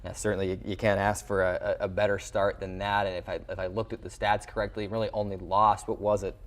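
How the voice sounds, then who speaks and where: A man's voice: fairly low pitch, little pitch movement, quick pace. A man hosting a sports interview show in a television studio, with a wordy broadcaster's way of presenting.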